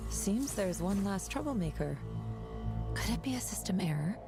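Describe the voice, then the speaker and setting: A woman's voice: medium pitch, expressive, moderate pace, close to an everyday voice. A woman playing a confident, breezy martial artist in a game cutscene, casual and unbothered. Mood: casual, curious. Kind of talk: scripted game cutscene acting. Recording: loud music behind the voice.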